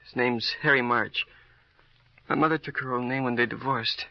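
Casting: man to play a bitter, sly city teen for a radio thriller, mid-pitched, needling, on dull old tape. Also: fairly even intonation, gravelly, dry recording, natural voice. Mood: sly, insinuating. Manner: halting, sardonic, needling. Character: brittle, bitter, sly seventeen-year-old city boy. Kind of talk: 1950s radio crime drama acting.

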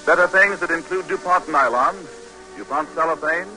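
Bright, emphatic 1940s male radio announcer. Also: fairly high pitch, moderate pace, faint music behind, rough recording. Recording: old radio recording.

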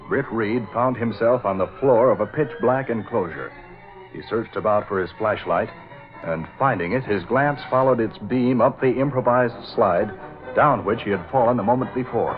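An actor as the formal narrator of a suspense serial, reading scripted narration in 1940s radio style. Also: male voice, medium pitch, normal speed, close to an everyday voice. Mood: suspenseful. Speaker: announcer. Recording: muffled old radio recording. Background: music.

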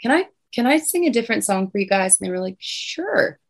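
A young woman singer with a low voice, chatting casually as an interview guest. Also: big pitch swings, a little fast. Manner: chatty, many restarts and fillers.

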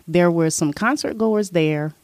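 A woman's voice: fairly low pitch, unhurried, a little mellow, no echo. A middle-aged woman telling her life story in a casual interview, chatty, with frequent "you know" fillers. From born abroad, raised in military family. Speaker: interview guest.

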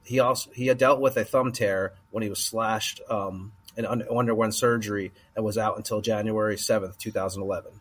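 A man's voice: on the low side, fairly even intonation, brisk, few pauses, slight background noise. A man hosting a podcast hockey quiz, reading out clues and adding offhand comments. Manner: reads clues then adds offhand comments. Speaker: quiz host. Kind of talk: casual podcast chat, reading trivia clues.

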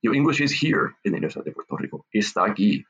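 A man from Massachusetts, an author and academic, answering in an unscripted interview in a halting way, with many restarts and repeated words. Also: fairly low pitch, very animated, fairly bright tone, breathy edge, lo-fi sound.

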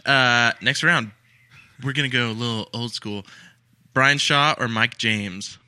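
A man's voice: mid-range voice, a little slow, bright, forceful tone, smooth. A man casually hosting a sports trivia round on a podcast, laughing often. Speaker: podcast cohost running a quiz.

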